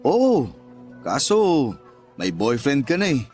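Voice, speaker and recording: An actor playing a patient, devoted young suitor in a Filipino radio drama, with a Filipino accent. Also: male voice, mid-range voice, wildly swooping pitch, slow pace, a little mellow, soft background music. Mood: tender, hopeful.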